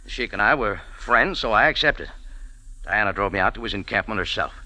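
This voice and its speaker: A man's voice: on the low side, lively intonation, a little fast, bright, forceful tone, dry recording, rough recording. An American man playing a wisecracking, world-weary hero in a hardboiled old radio drama, sardonic and clipped. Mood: weary explaining. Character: wisecracking, tough, world-weary cafe-owner adventurer hero. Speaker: lead actor and narrator. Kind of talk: 1940s-50s hardboiled radio drama narration and acting.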